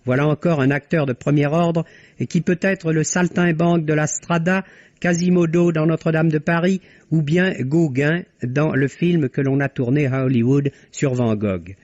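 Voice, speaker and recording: A man's voice: fairly high pitch, moderate pace, a little mellow, no echo. Man reviewing a film on old radio. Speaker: film critic. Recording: dull lo-fi archive radio recording.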